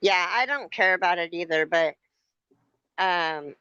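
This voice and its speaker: A woman's voice: on the low side, bright, forceful tone, clean tone. A woman in her fifties chatting casually on a live stream, rambling and often correcting herself. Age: 50s. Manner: rambling, self-correcting, quick to laugh. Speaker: host of a crochet live stream. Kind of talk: casual live chat with friends and viewers. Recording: dull, echoey room sound.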